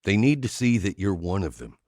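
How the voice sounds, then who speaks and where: Calculating fight-show adviser, low pitch, matter-of-fact, cynical, audiobook drama, dry recording. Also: male voice, very animated, fairly quick, darkish tone, dry recording.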